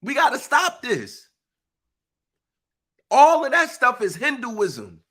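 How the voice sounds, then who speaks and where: Man, congested and a bit husky, emphatic preachy monologue, lively high-ish voice. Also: slow pace. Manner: preachy, emphatic, uses informal speech. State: congested, stuffy nose. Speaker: live-stream speaker. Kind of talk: impassioned live monologue.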